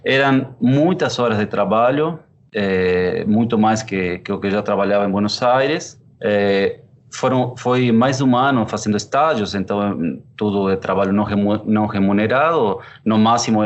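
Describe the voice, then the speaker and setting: A man in his thirties from Argentina, a chef, answering interview questions with an Argentine Spanish accent, unhurried and thoughtful with frequent fillers. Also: lowish voice, dull audio. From Córdoba, Argentina. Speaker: chef and pizzeria partner.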